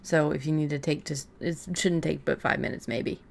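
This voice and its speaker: A woman's voice: low voice, steady tone, normal speed, creaky. A woman co-hosting a casual, chatty conversation about writing fiction, talking in an informal, offhand way.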